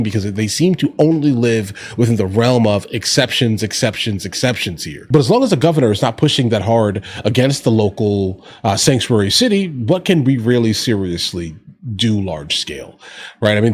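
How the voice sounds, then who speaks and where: A man's voice: lowish voice, slightly rough. A man giving casual political commentary as a reaction host, conversational and opinionated, with slangy asides to his viewers.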